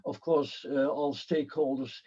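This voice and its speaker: A man's voice: a little high, flat delivery, normal speed, a little mellow. Hesitant man on a muffled call. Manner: hesitant, many fillers and restarts. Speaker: panelist. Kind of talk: conference panel remarks.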